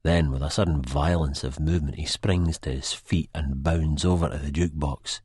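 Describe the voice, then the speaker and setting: A man's voice: very deep voice, fairly even intonation, normal speed, a little mellow, slightly rough, no echo, studio quality, full character voice. A man with a Scottish accent playing a wry, reflective, disillusioned first-person narrator in an audiobook. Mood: uneasy. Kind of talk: first-person literary narration, character acting.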